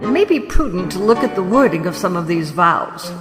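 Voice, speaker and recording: A woman's voice: on the low side, big pitch swings, a little fast. A woman playing a fussy, deferential, officious wedding officiant in an audio drama. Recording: reverberant hall with faint music.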